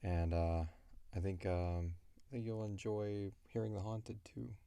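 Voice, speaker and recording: A man's voice: fairly low pitch, flat delivery, a little slow, creaky, warm tone, clear, resonant tone, dry recording. A man hosting a podcast in a casual, chatty style. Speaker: podcast host and voice actor. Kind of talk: casual chat. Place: recording booth.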